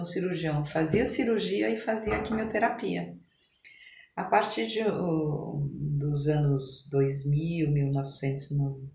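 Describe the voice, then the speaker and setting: A middle-aged woman talks conversationally as an interview guest, explaining things with pauses to search for words. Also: on the low side. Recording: echoey room, clear background noise.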